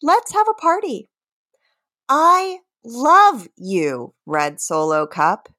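A woman's voice: fairly high pitch, huge pitch swings, very slow, pushed and bright. A woman hosting a podcast in casual comedic chat, with a teasing manner and a theatrical streak when reading lyrics. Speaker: podcast host.

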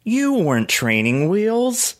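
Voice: medium pitch, sing-song, takes it slowly. A performer playing a scorned, territorial ex-partner in a dramatic reading of breakup messages. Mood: resigned.

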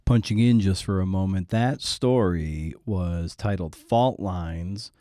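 A man's voice: low voice, slow pace, mellow, no echo, clean studio sound. A man hosting a podcast in a casual, scripted style, irreverent and playfully theatrical in his delivery. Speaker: podcast host.

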